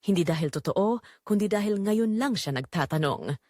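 A woman narrator reads a first-person drama with earnest emotion. Her pitch is medium and moves widely, and the sound is dry and close. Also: expressive, normal speed, a little mellow, dry recording.

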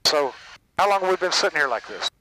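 A man's voice: fairly high pitch, quick pace, a crowd in the background, rough recording. An older man, a flight instructor coaching a student in the cockpit in a folksy, encouraging way. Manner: encouraging, folksy, gives steady commands. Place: small airplane cockpit. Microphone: headset boom mic. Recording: engine noise behind headset audio.

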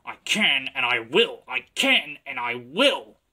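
A man's voice: high voice, lively intonation, moderate pace, sharp tone, voice pushed up, full character voice. A man voicing a proud, determined red steam engine in a children's story, puffing out emphatic repeated phrases.

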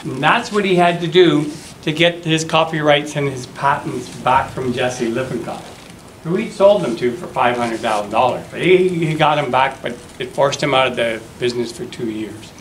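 A man's voice: mid-range voice, normal speed. A man in his fifties presenting an informal history lecture in a plain-spoken, explanatory way. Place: classroom with seated audience. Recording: roomy echo, faint noise.

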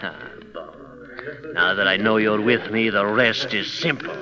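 An actor playing a boastful, scheming French ex-criminal turned detective in theatrical 1930s radio style, sprinkling in French exclamations. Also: male voice, on the low side, unhurried, crowd noise, close to an everyday voice. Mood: sly. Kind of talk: 1930s radio drama acting. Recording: dull 1930s radio recording.

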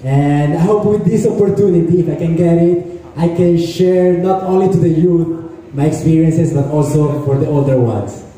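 A man's voice: fairly high pitch, fairly even intonation, moderate pace, warm tone. A man in his twenties from Italy, a pageant contestant giving a sincere, slightly hesitant self-introduction on stage. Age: 20s. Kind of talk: prepared self-introduction speech. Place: large indoor hall stage. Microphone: handheld mic, filmed from far. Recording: echoey hall from far off.

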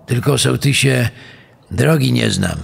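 A man playing a gruff middle-aged wandering tinsmith in a true-crime dramatization, with a raspy voice and rustic, old-fashioned speech. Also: medium pitch, unhurried, heavily acted. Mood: humble, ingratiating. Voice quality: raspy, gravelly.

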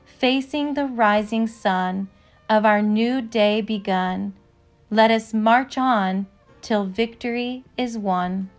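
A woman narrating a picture book aloud with measured, deliberate phrasing. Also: fairly high pitch, faint music behind. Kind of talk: storybook read-aloud, scripted narration.

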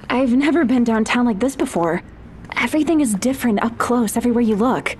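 Young woman voicing a shy sheltered rich girl, soft, hesitant, faint hiss behind. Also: fairly high pitch, animated, brisk, natural voice. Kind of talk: audio drama acting.

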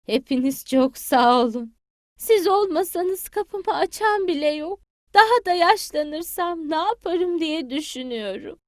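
A woman's voice: very high voice, animated, measured pace, no echo, studio quality, voice pushed up. A woman voicing a kindly, tender old grandmother hen in a children's cartoon, speaking affectionately. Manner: affectionate, blessing and fussing over children.

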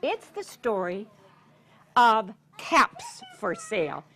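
An older woman telling a story to young children in a theatrical, deliberate way, drawing out words and putting questions to her listeners. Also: on the high side, wildly swooping pitch, fairly bright tone, husky. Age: 60s or older. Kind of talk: animated read-aloud storytelling to young children. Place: children's story room in a public library.

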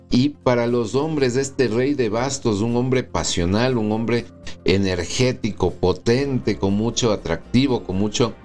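Man reading tarot, coaxing "¿sí?" tag questions. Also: mid-range voice. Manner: warm reassuring, checks in with "¿sí?" and "¿ok?". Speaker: tarot reader. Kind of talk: weekly horoscope tarot reading. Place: small indoor room with plants and wood blinds.

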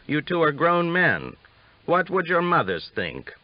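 Ornery older cowboy, played by a male narrator, teasing and folksy, lifted in pitch, lo-fi audiobook sound. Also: wildly swooping pitch, a little slow, no room sound. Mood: mock-scolding. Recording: dull lo-fi audiobook recording.

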